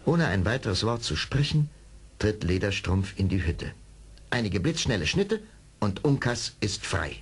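Male narrator for an adventure radio play, rough-edged lowish voice, swinging intonation, dramatic scripted storytelling. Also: sing-song, measured pace.

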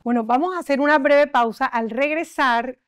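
A woman in her forties hosting a studio TV interview, asking polished and lively questions on a lapel mic. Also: sits high, moderate pace, clean tone, dry recording. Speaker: TV interview host. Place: TV studio set.

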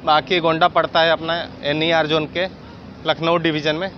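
A man's voice: fairly high pitch, level tone, normal speed, highs cut off, slight background noise. A man in his thirties narrating a train travel vlog, listing station facts in an upbeat guide-like way, filmed on a phone at arm's length outdoors. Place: outdoors on railway platforms and at a moving train door. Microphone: phone camera at arm's length.

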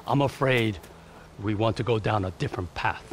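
An actor playing a polite, nervous business associate in a video game cutscene, speaking formally and apologetically. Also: male voice, on the low side, normal speed, a little hiss or hum, lightly acted.

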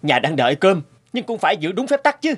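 Stern cartoon father, scolding, short-tempered, husky, lively intonation. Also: sits high, a little fast, full character voice. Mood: angry, scolding. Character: gruff, short-tempered strict father of two boys. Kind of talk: Vietnamese animated moral tale dubbing.

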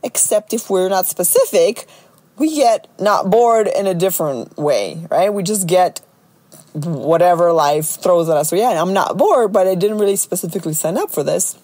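A middle-aged woman with a slightly raspy voice, talking casually and chattily as a solo podcast host and coach. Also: lowish voice, expressive, normal speed, darkish tone, no room sound.

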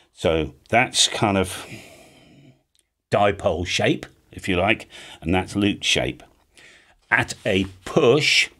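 Deep-voiced man explaining casually. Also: a bit bright. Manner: chatty, casual, often checks in with "all right?". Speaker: host of a radio hobby channel. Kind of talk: informal explainer, talking through a hand-drawn diagram. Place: home music studio room, indoors.

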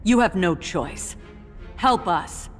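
A woman playing the stern, defiant daughter of a tyrant in a video game, speaking gravely and bluntly. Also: on the low side, lively intonation, takes it slowly, faint music behind. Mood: stern, urgent. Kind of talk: video game drama acting.

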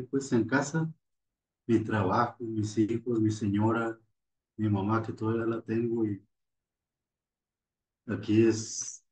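A man's voice: medium pitch, darkish tone, rough recording. A man in his forties with a Mexican accent, speaking plainly and earnestly on a video call, sitting back from the camera. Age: 40s. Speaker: resident of a rehab farm. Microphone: video call from far off.

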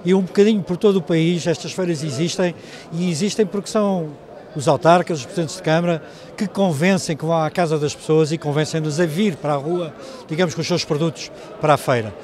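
A man's voice: on the high side, a little fast, darkish tone. An older man with a European Portuguese accent speaking formally but off the cuff as an interviewed official. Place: large exhibition hall. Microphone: handheld interview microphones.

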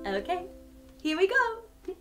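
A high-pitched woman in her thirties presents stories for little children in an expressive, sing-song manner. Her delivery is unhurried and swoops up and down. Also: over loud music. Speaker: children's storytime host. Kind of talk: read-aloud storytelling and chatty children's presenting. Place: small furnished living room, indoors.

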